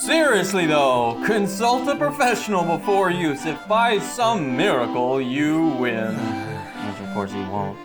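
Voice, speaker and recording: A woman's voice: low voice, big pitch swings, unhurried, over music. A woman playing a flamboyant, teasing carnival game barker with grandiose theatrical patter in a fantasy audio drama. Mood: teasing, sly.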